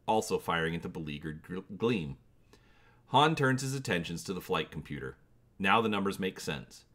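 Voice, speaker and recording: A man's voice: deep voice, a bit bright. A man hosting his channel, giving a dramatic reading of an adventure gamebook and inviting viewers to comment on choices.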